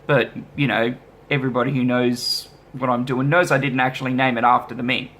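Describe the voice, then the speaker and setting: A man's voice: medium pitch, flat delivery, fairly quick, a bit bright. A man vlogging casually as a musician, easygoing and self-deprecating as he rambles through viewer questions. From Australia. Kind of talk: casual vlog chat answering viewer questions.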